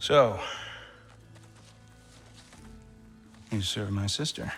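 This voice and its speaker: An actor playing a bitter, wry Norse god who leads rebels in a video game, speaking in a sardonic, deliberate way. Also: male voice, on the low side, fairly bright tone, in a large hall, soft background music. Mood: grim, wary. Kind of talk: cinematic video game acting.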